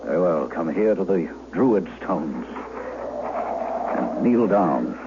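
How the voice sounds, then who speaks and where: An actor playing a menacing, commanding duke in an old-time radio drama, with imperious, formal archaic speech. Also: male voice, deep voice, big pitch swings, slow pace, full character voice. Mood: solemn, commanding. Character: menacing, commanding English duke intimidating a peasant boy. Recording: dull, noisy vintage radio broadcast.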